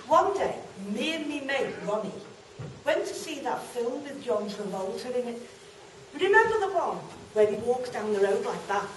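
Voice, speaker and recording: A woman's voice: a little high, darkish tone. Woman acting a chatty northern English woman, roomy recording. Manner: chatty, nostalgic, asking the listeners questions. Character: chatty working-class northern woman reminiscing about her childhood. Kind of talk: amateur stage monologue addressed to the audience. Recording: roomy, distant mic, noisy live hall.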